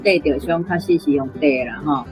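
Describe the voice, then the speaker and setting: Taiwanese woman teacher, soft guitar music behind. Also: low-pitched, a little fast, rough recording. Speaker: language teacher giving feedback. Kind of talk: informal explanation.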